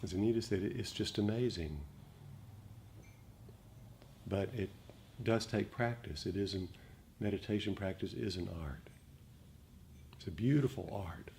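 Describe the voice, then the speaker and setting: Man, lowish mellow voice, gentle reflective meditation teacher, halting delivery, noticeable background noise. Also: creaky. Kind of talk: dharma talk to a meditation group.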